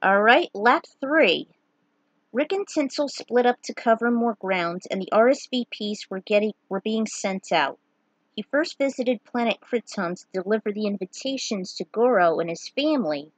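A woman's voice: on the high side, expressive, moderate pace, no room sound, rough recording. A woman giving casual recaps and amateur narration as the host of a read-aloud fan fiction series.

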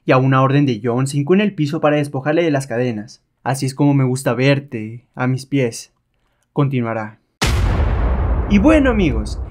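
A man hosting and narrating a comic-book story from a script in a dramatic storytelling style. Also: a little high. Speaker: channel host and narrator.

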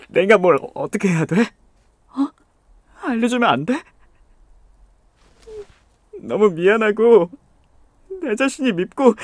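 A young man voicing a gentle, devoted boyfriend in an ASMR audio drama, speaking softly and tenderly. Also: extremely high pitch, no room sound, clean studio sound. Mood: tearful pleading. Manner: soft-spoken, hushed and tender. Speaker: voice actor.

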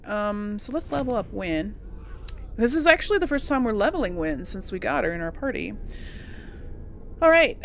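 A woman's voice: mid-range voice, creaky, a little hiss or hum. A woman chatting casually through a game playthrough, thinking aloud to herself as she plays. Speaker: gamer hosting a role-play playthrough.

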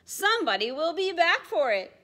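A woman voicing a cautious, fussing mother penguin in a read-aloud picture book, in a high, loud voice. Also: big pitch swings, normal speed, heavily acted. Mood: cautioning.

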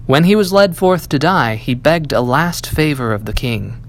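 A man narrates a fairy tale in an expressive storybook manner. His voice sits a little high for a man and moves with lively, swooping intonation. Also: moderate pace. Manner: storybook delivery, acting out dialogue. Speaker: audiobook reader, library staff.

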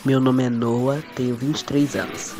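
Artistic young male contestant played by a man, medium pitch, loud music underneath. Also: flat delivery, normal speed, lightly acted. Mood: eager. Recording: prominent music behind the voice.

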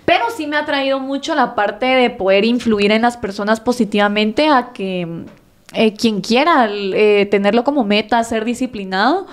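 A woman with a slightly high voice chats casually as a podcast guest. She is animated and quick to laugh. She keeps checking in with her listener as she explains. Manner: animated, laughs often, fills with "¿verdad?" and "eh". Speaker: guest nutritionist.

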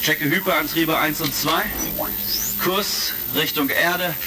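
A man's voice: a little high, steady tone, slow. A man playing an easygoing heroic space commander in a retro science-fiction radio drama. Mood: chummy. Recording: crackly vintage record, music behind.

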